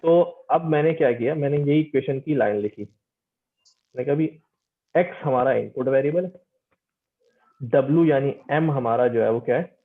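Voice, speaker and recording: A man with a medium-pitched voice teaches informally over a thin, phone-like connection. He explains step by step, repeating phrases and often checking that listeners understand. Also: moderate pace, pauses often. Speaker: teacher giving an online lecture. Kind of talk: informal interactive technical lecture. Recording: tinny phone-like call audio.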